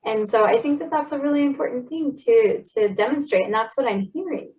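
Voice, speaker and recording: A woman's voice: a little high, fairly quick, darkish tone. A woman interviewing a guest, affirming and encouraging her answers. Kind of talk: conversational interview. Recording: voice a bit distant from the mic.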